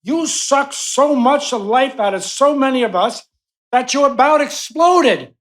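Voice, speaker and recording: A desperate, defiant captive patient, played by an actor in a radio play. The delivery is slow and emphatic, protesting with animated swings of pitch. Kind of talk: live radio play acting.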